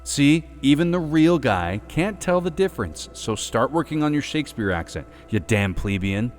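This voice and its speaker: A man's voice: medium pitch, animated, moderate pace, soft background music, pitched above the performer's own voice, natural voice. A man voicing Ross, a brash, insulting male skeleton actor in a storybook reading. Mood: bossy. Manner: pushy, sarcastic, scolding. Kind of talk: storybook character voice.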